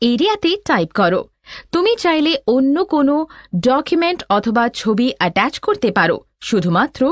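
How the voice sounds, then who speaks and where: High, lively woman tutorial instructor. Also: no echo, clean studio sound. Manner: step-by-step, explanatory. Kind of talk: scripted instructional narration.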